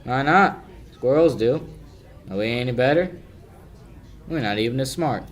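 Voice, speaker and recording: A man's voice: medium pitch, animated, unhurried, lightly acted. Young man playing cocky wisecracking amateur sleuth. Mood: wry, relaxed. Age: young adult. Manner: confident, needling, wry. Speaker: amateur high school actor. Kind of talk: 1940s radio detective drama acting.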